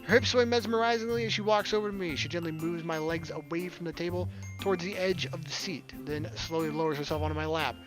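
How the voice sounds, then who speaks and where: A man with a fairly high voice reads a visual novel aloud for a let's play. He moves between theatrical narration and casual commentary. Game music plays prominently behind him. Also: fast, rough recording. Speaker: game streamer reading aloud.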